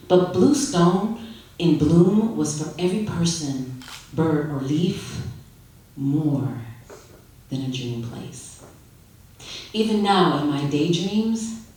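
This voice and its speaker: A woman's voice: low voice, a little mellow. Female story reader for live literary event. Dramatic, leisurely delivery. Strongly echoing space, miked from a distance. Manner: unhurried, dramatic storytelling with pauses. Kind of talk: expressive literary reading to an audience. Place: live reading event, indoors. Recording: echoey room, distant microphone.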